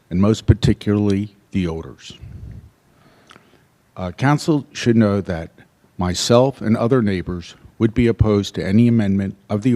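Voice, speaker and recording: Quiet soft-voiced guy at the podium mic, really deep voice, talks slow, lots of uh's. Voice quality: soft-spoken. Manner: plain, measured, with pauses and fillers. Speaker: resident giving public comment. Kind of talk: public comment at a council meeting. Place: council meeting room. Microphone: podium microphone.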